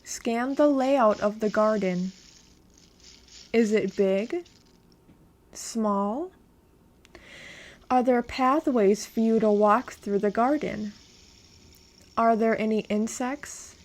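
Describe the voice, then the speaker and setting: A woman leads a guided meditation at a very slow pace. Her voice sits a little high, and she gives each instruction in a calm, measured manner.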